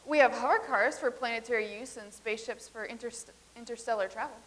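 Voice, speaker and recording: A woman acting in a staged comedy reading as a calm, formal, peace-keeping traveler from the far future. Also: on the high side, a little fast. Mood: matter-of-fact.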